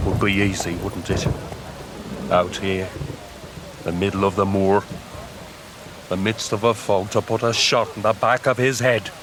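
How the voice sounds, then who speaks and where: An actor playing a grim, knowing huntsman and tracker in a period audio drama, guarded, measured and ominous. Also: lowish voice, level tone, noisy background, lightly acted. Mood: dark, brooding.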